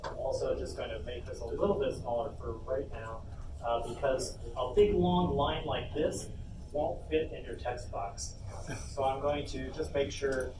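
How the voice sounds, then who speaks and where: A man heard from a distance in a noisy, very roomy space. He walks through a software demonstration step by step, informal and halting as he thinks aloud. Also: medium pitch. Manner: informal, halting narration of own steps. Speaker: workshop presenter, coder. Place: indoor convention panel room. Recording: roomy, distant, noisy panel room recording.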